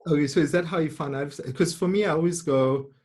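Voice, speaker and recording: A man's voice: a little high, a little fast, a little mellow. A man hosting a webinar interview, asking friendly questions and often repeating his words. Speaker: webinar host. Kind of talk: casual interview. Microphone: video call.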